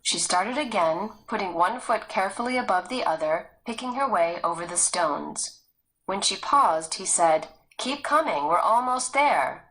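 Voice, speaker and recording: A woman's voice: lowish voice, moderate pace, fairly bright tone, low-quality recording. A woman reading a story aloud as a scripted audiobook narrator.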